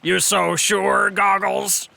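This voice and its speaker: An actor playing a boisterous, blustering old one-eyed war veteran in a fantasy audio drama, with a raspy voice. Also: male voice, high-pitched, flat delivery, slow, exaggerated voice. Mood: boastful, needling. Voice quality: gravelly, raspy. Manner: loud, hearty, blustering.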